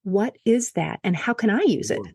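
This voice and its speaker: A woman's voice: mid-range voice, sing-song, moderate pace, vocal fry, a little mellow, breathy edge, no echo, clean studio sound. A woman hosting a podcast, reading a scripted introduction and then asking questions in an enthusiastic, upbeat way. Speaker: podcast host.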